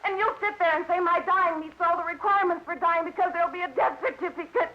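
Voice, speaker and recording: An actress playing a desperate, proud young woman in an old radio crime melodrama, with intense, deliberate phrasing. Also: on the high side, close to an everyday voice. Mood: anguished. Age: young adult. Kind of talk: 1950s American radio melodrama acting. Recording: dull old radio recording, faint noise.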